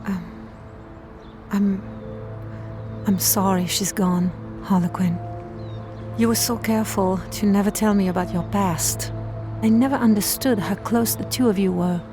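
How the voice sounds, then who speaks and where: A woman's voice: mid-range voice, fairly even intonation, unhurried, long pauses, music in the background, close to an everyday voice. An actress playing a capable young woman thief in an audio drama, a guarded but loyal protégée who speaks plainly and earnestly. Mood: sympathetic.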